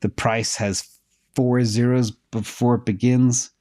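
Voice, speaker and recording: A middle-aged man with a dark, mellow voice hosts a casual livestream. He is hoarse from losing his voice. He talks loosely and thinks aloud with plenty of ums while checking numbers live. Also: medium pitch, little pitch movement, slow pace. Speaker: host answering viewer questions.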